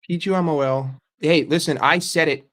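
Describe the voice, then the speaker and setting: A young male streamer with a fairly high-pitched voice talks loosely and joking with his chat. He swears freely and keeps it casual. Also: fairly even intonation, normal speed, fairly bright tone. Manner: profane, joking, reacting to chat.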